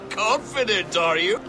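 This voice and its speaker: A man's voice: high voice, noisy background. A man playing a jovial, teasing Viking who deals out drinking challenges in a video game cutscene. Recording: music playing behind the voice.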